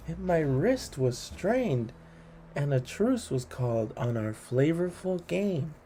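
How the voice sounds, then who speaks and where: A man hosts a read-along of comic rhymes for children. His voice is soft-edged and relaxed, and he takes his time with a theatrical storyteller's delivery. Quiet music plays underneath. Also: a little high. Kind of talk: expressive reading of funny children's rhymes.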